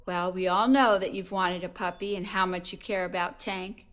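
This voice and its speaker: A middle-aged woman playing a caring mother of a teenage boy in an audio drama, speaking gently and coaxingly. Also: fairly low pitch, fairly even intonation, moderate pace, close to an everyday voice. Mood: warm, earnest.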